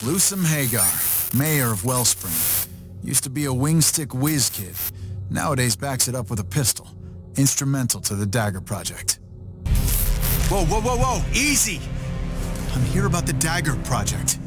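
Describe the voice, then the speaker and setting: Male ranger hero voiced by an actor, game cutscene, terse, direct, medium pitch, music underneath. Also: animated, normal speed, natural voice. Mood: businesslike. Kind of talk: video game cutscene acting.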